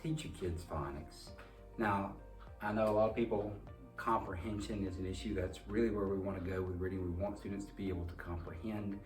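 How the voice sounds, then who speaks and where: A man's voice: low voice, fairly even intonation, fairly quick. A man delivers an explanatory lecture in a mid-range voice. He teaches didactically, leaning on key words. The sound is echoey and distant from the microphone, with faint music. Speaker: presenter teaching about reading tests. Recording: roomy, echoing sound from a distant mic.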